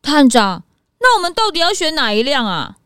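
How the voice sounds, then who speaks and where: A woman's voice: sits high, sing-song, normal speed, a bit bright, no echo, clean studio sound. A woman voicing a cheeky, naive young detective's assistant in a childlike, teasing way for a children's audio drama. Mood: worried.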